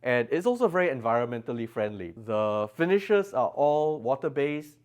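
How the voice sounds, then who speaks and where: A man's voice: medium pitch, big pitch swings, moderate pace. A man presenting products as a designer at a trade show, explaining technical details with emphasis. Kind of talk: product demonstration in an interview. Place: exhibition room at an audio show.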